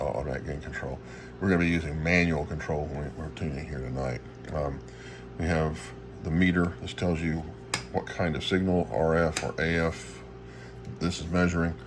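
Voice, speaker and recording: A man with a strikingly deep voice walks through equipment informally, like a hobbyist showing his gear. He often stops to search for the right word. Clear background noise runs through the amateur recording. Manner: halting, searching for words. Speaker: radio hobbyist presenter.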